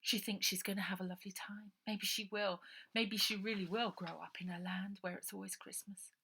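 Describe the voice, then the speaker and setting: Bright, forceful woman reading a story. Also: mid-range voice, brisk. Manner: expressive, suspenseful, often breathy near-whisper. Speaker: author reading own novel. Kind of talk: dramatic children's audiobook narration.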